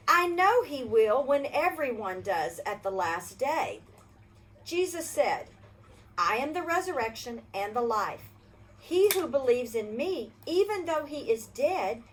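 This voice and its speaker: Slow, high-voiced older woman reading aloud. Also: slight background noise. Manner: gentle, slow storybook reading that asks the kids questions. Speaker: children's storyteller. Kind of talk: reading a Bible story to children.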